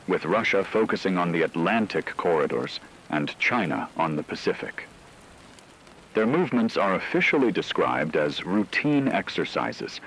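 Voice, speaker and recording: Deep, grave radio news anchor played by a man. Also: a bit bright, slight background noise, full character voice, poor audio. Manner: measured, solemn, formal delivery. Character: grave male radio anchor reporting a looming war. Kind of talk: scripted fictional emergency radio broadcast.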